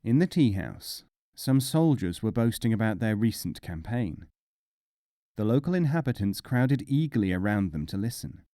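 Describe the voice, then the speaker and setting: A man reading humorous folk tales as a scripted storyteller, in a measured, expressive way. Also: fairly low pitch, no room sound, studio quality. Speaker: audiobook narrator.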